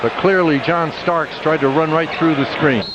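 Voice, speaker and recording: A man's voice: on the high side, moderate pace. A man giving opinionated color commentary on a live basketball broadcast, explaining the plays. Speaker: color commentator, game analyst. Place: indoor basketball arena. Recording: dull broadcast audio with loud crowd noise. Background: loud crowd and arena noise.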